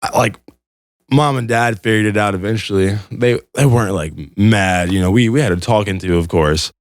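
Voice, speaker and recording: A man's voice: lowish voice, moderate pace, dry recording, studio quality. Young man swapping stories. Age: young adult. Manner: informal, meandering, slangy, quotes dialogue in retellings. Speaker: podcast storyteller. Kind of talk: casual comical storytelling.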